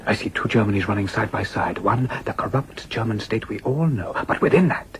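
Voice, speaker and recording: An actor playing a fanatical, domineering male political leader in an audio drama, declaiming with dramatic pauses and hushed intensity. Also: fairly low pitch, lively intonation, noisy background. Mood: commanding.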